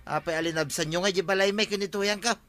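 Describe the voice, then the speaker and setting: A man's voice: high-pitched, fairly even intonation, fast, a bit bright, soft background music. A man with an Ilocano Filipino accent playing an aggrieved, scheming villager in a radio comedy drama, full of indignant complaint. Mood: indignant, wheedling.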